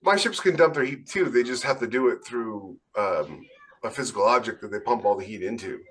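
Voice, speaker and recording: A man with a medium-pitched voice talks in a casual podcast discussion. He explains things in detail and with enthusiasm, like a writer sharing his craft. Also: lively intonation, brisk, a bit bright. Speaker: podcast co-host and science fiction writer.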